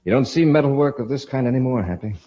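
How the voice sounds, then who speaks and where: Man playing heroic male commander, husky, fast-talking, decisive, vintage radio drama, dull recording. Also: medium pitch, expressive, warm tone, natural voice. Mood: curious, assured. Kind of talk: 1950s radio serial acting. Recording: muffled old radio recording.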